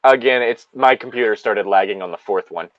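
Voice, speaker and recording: A man's voice: on the low side, brisk, no echo, lo-fi sound. A man hosting a casual chat, talking in a crude, joking manner with frequent swearing. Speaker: podcast host.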